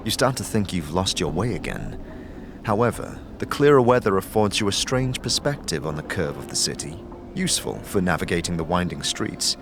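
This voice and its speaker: A man's voice: low voice, background noise. A man narrating a fantasy tale in the second person as dramatic audio storytelling.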